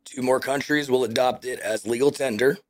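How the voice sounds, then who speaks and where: Mid-pitched man reading headlines. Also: fairly even intonation, fairly quick. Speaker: podcast co-host reading out news items.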